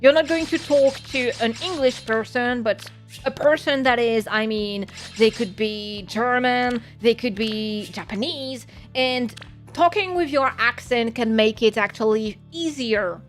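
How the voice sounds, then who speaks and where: A woman's voice: on the high side, unhurried, soft background music. A woman with a French accent, a native French speaker, chatting casually on a gaming stream in a musing, rambling way and correcting herself as she goes. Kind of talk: casual gaming livestream chat.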